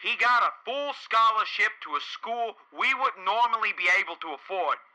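A man's voice: high-pitched, animated, normal speed, bright, forceful tone, exaggerated voice, rough recording. A man playing a distraught middle-aged father calling in to a radio comedy, pleading and overwrought. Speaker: caller.

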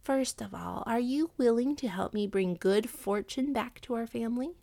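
Storybook cat: clever, scheming male, confident and persuasive, gravelly, dry close sound; a woman reader putting him on. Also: moderate pace, warm tone, no echo. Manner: confident, explanatory, slightly raised pitch.

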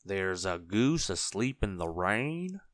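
A man voicing a mild, sensible storybook farmer who talks in a slow, deliberate, puzzled way. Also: fairly low pitch, lively intonation, a bit bright, clear, resonant tone, no room sound.

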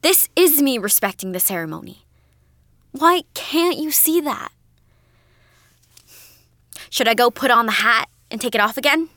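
Higher-voiced questioning young girl. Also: expressive, measured pace, pauses often, sharp tone, dry recording, clean studio sound, exaggerated voice. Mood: defiant. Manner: hesitant but defiant questioning. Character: questioning, stubborn young daughter, independent-minded girl. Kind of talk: naturalistic audio drama acting.

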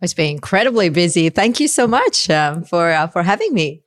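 A woman's voice: on the low side, sing-song, normal speed, dry recording, clean studio sound. A woman in her late thirties from China, speaking with a Chinese accent, answering interview questions as a fund manager in a chatty way, often saying "you know" and restarting her sentences.

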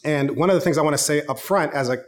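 A man's voice: on the high side, fast. A man in his thirties, a pastor giving an online sermon in a casual, conversational way, telling personal stories. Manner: casual, conversational preaching with storytelling.

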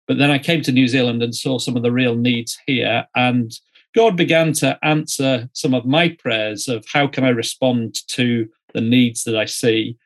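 A middle-aged man from Yorkshire, speaking as an interview guest in a conversational storytelling way with measured, hesitant phrasing. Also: mid-range voice, steady tone. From Yorkshire, northern England. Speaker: interview guest, student ministry director.

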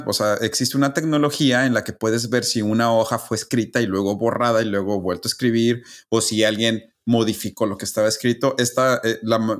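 A man, hosting a casual podcast and methodically explaining facts step by step, often restarting his phrases. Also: mid-range voice. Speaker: podcast host.

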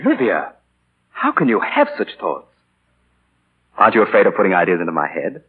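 A man's voice: sits low, huge pitch swings, moderate pace, slightly rough, full character voice. Smug young man, old phone-like radio. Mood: smug, taunting. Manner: mocking, falsely courteous, theatrical. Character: smug, insinuating young man, a novelist's spoiled son. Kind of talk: 1940s radio acting. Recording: muffled, scratchy 1940 radio broadcast.

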